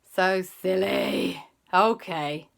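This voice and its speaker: A woman voicing a group of sensible children who scold their silly aunt in a higher, bossy tone. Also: big pitch swings, normal speed, sharp tone.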